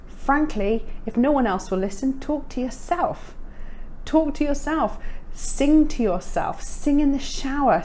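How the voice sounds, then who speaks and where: British woman in her thirties, upbeat English teacher, mellow tone, faint hiss behind. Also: fairly high pitch, low-quality recording. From United Kingdom. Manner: upbeat, emphatic repetition. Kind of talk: scripted lesson to camera.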